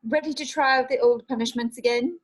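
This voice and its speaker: A woman's voice: sits high, fairly even intonation, fairly quick, lightly acted. A woman playing a mocking, sweetly menacing middle-aged school official in an amateur script read. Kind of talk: amateur online screenplay table read.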